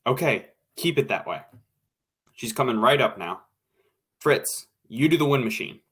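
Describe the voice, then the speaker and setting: A man's voice: mid-range voice, lively intonation, a little slow, a bit bright, breathy edge. A man playing an impatient radio director in a 1940s-style drama, brusque, slangy and commanding. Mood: impatient, bossy.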